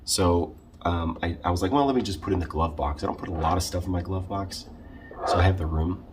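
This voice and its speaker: A man talking casually through a how-to vlog in a halting, conversational way, often correcting himself. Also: low-pitched, slight background noise. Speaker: video creator showing a car radio install.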